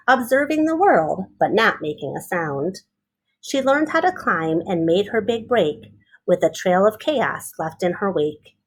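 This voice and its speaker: Animated, husky woman reading to children. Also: mid-range voice, rough recording. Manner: animated, explains and asks questions of young listeners. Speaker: teacher reading aloud to pupils. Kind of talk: expressive children's picture book read-aloud.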